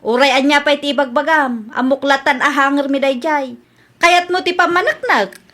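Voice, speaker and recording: A woman's voice: high voice, normal speed, bright, forceful tone. A woman acting a suspicious, scolding wife in an Ilocano radio comedy drama. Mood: accusing. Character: nagging, suspicious wife accusing her neighbour of theft.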